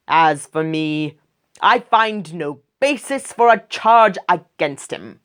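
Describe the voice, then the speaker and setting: A woman's voice: fairly low pitch, expressive, slow, smooth. A woman voicing an uneasy male Roman governor in a read-aloud scripture story, raised and questioning.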